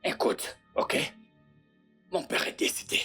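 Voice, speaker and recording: A woman's voice: takes it slowly, fairly bright tone, soft background music. A woman dubbing a young man, the eldest brother of the family, who is defensive and pleads insistently. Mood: pleading. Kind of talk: French dub of a film.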